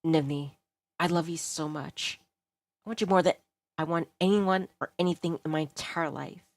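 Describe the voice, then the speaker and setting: A woman voicing a devoted, reassuring male love interest in a visual novel, gentle and sincere. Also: low-pitched, fairly even intonation, normal speed, no room sound. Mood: tender.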